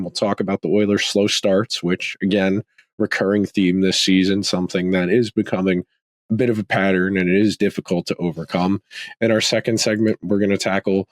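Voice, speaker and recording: A man with a fairly low voice hosts a sports podcast, roughened by a slight rasp. He talks casually and thinks aloud, often circling back to restart a phrase. The sound is dry and close, with no room around it. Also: dry recording. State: slightly raspy from a throat tickle. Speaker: podcast host.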